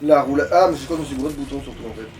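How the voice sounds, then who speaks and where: A man's voice: mid-range voice, very fast, a little mellow, slight background noise. A man chatting casually and swearing freely as he plays through a video game. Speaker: gamer playing in a let's play. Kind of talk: casual gameplay chat. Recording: music playing behind the voice.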